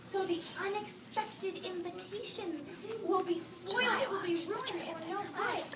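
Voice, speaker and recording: A woman teacher reading a picture book aloud to young children, expressive, pausing to explain words and ask questions. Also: very high-pitched. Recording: echoey noisy room from far off.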